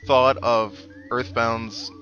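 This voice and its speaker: A man's voice: mid-range voice, steady tone, drawn-out words, sharp tone, muffled recording. A man giving casual, chatty video game commentary with wry asides, as the host of a let's play. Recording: game music playing behind the voice. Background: video game music.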